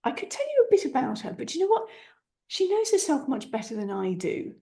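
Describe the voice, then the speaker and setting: A woman's voice: sits high, wildly swooping pitch, brisk, vocal fry, warm tone. A woman hosting a podcast, chatty and encouraging, with playful asides to listeners. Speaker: podcast host. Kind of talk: upbeat podcast intro.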